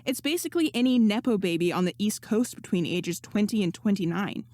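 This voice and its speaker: A woman's voice: medium pitch, lively intonation, fairly quick, darkish tone, no echo, studio quality, close to an everyday voice. A woman voicing a confident, breezy young woman in a story, teasing and sure of herself. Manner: teasing, assured, sarcastic.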